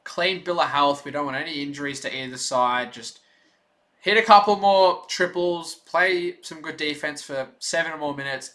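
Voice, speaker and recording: A young man streaming his own play-by-play as a fan, chatty and excited, with a voice going hoarse. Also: on the high side. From Australia. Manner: excited rooting fan, loud on big plays, chatty in lulls. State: losing his voice. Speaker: stream host.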